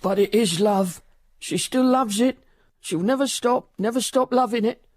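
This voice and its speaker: Emotional old dad in a radio drama, tender, exclaiming and repeating himself. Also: male voice, sits high, unhurried, a little mellow, dry recording, studio quality. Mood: anxious, sorrowful. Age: older. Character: anguished, tender-hearted old working father, overjoyed later.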